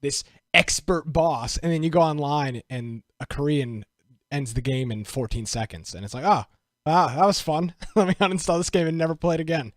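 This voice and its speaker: A man's voice: fairly high pitch, moderate pace, no room sound, studio quality. Teasing man co-hosting a podcast. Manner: joking, teasing banter. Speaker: podcast co-host. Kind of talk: casual podcast chat.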